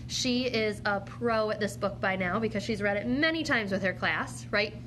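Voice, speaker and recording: Woman teacher with clear background noise. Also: medium pitch, normal speed. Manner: encouraging, questioning, speaking to a child. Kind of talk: instructional read-aloud demonstration with questioning.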